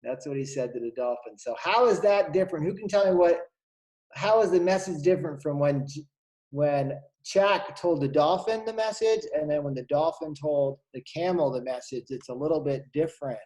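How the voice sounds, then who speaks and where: Male kindergarten teacher, mellow voice, reading aloud. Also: a little high, moderate pace, poor audio. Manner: animated, questioning, encouraging. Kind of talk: interactive storybook read-aloud to young children.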